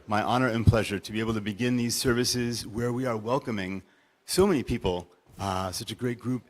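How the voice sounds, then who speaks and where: A man leading a synagogue service, greeting the congregation with call-and-response welcomes. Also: lowish voice. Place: large synagogue sanctuary. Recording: long hall reverb.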